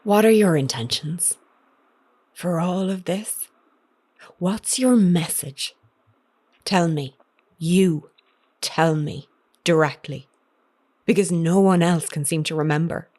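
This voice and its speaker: Low-voiced, determined investigator. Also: female voice, slow pace, pauses often, a little mellow. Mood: guarded, defiant. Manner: pointed, probing, wry. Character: determined woman investigator, wry, wounded, confronting former partner. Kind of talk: audio drama acting.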